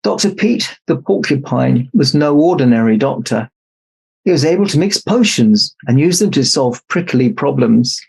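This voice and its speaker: A man reads a children's storybook aloud with expressive narration. His medium-pitched voice is husky and has a gravelly creak. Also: moderate pace, low-quality recording. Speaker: storybook reader in Santa persona.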